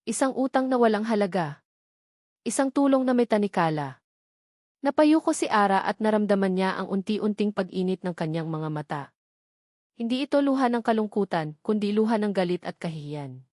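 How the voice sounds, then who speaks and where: A woman's voice: mid-range voice, lively intonation, normal speed, a little mellow, no room sound, studio quality. A woman reading scripted, dramatic narration of a love story.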